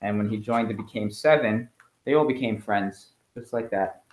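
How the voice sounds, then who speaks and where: A man reading a picture book aloud to children in an expressive, emphatic storytelling way, as a school principal at home. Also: fairly low pitch, moderate pace. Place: at home, indoors. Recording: roomy, echoey home recording.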